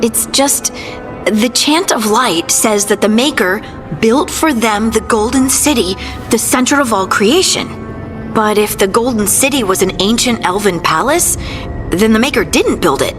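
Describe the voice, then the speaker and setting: A woman's voice: fairly high pitch, animated, unhurried, over music. A woman playing an earnest, troubled young adventurer in a fantasy game, with a hesitant, plain-spoken manner.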